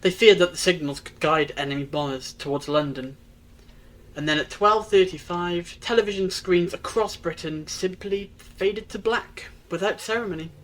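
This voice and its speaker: A performer playing a wise, gently wry time traveller in an audio drama, speaking in a measured, reflective way. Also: mid-range voice, close to an everyday voice, rough recording. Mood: explaining, assured. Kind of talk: fan-made audio drama acting.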